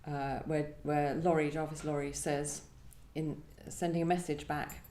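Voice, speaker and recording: A woman with a mellow, slightly low voice speaks as a guest poet at a live reading. Her delivery is thoughtful and somewhat tentative. The room adds a clear echo. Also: flat delivery, a little slow. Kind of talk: live poetry event, introducing and reading poems. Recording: echoey room.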